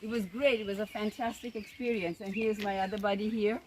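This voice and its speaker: A woman's voice: mid-range voice, little pitch movement, a little fast. Enthusiastic woman guest outdoors, noisy. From Sri Lanka. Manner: enthusiastic, gushing. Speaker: tour guest. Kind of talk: casual chat. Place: outdoors on a farm. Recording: noisy outdoor phone recording.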